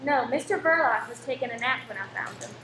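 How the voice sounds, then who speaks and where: A firm but kindly married woman from a radio play, acted by a woman. Her voice is high and composed, heard in an echoey room with a little noise. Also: lively intonation, fast, pushed and bright. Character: Winnie, brisk, kindly cinema owner's wife. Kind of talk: amateur radio play acting.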